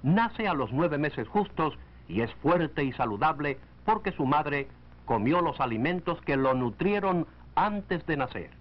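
A man reading scripted narration for a 1940s educational film, in a measured, didactic way. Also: fairly high pitch, lively intonation. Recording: dull lo-fi 1940s film soundtrack.

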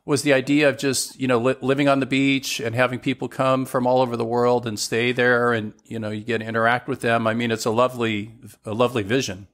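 A man hosting an interview podcast, asking halting questions and giving steady encouraging affirmations. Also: mid-range voice. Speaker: podcast host. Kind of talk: conversational interview.

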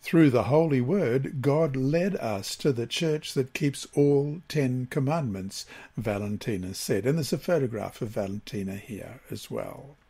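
A man from Australia reads a devotional study aloud. His voice sits at a middle pitch with a husky edge. He phrases deliberately, pausing between short groups of words as he works through the prepared text. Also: lively intonation, warm tone. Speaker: Bible lesson reader. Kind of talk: scripted devotional reading.

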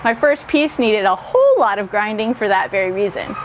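A woman's voice: medium pitch, animated, fairly quick, highs cut off, a little hiss or hum. A woman, narrating a DIY vlog to viewers in an upbeat way. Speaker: host of a DIY workshop channel.

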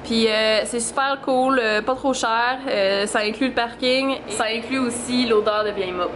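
Bubbly young Québécoise vlogger, high voice. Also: female voice, moderate pace, noisy background. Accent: Québécois French. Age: young adult. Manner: bubbly, chatty, lots of fillers and English words. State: congested with a cold. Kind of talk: excited travel vlog. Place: busy convention halls and outdoors. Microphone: handheld camera at arm's length. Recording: loud crowd and music behind.

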